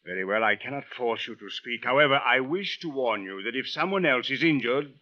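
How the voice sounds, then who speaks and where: A forceful, bright male voice on a muffled vintage radio track, an exasperated police captain. He speaks formally and exactly, questioning with stern official patience. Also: mid-range voice, lively intonation, a little fast. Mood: warning. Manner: formal, precise, no contractions. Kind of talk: old-time radio drama acting. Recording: dull old radio recording.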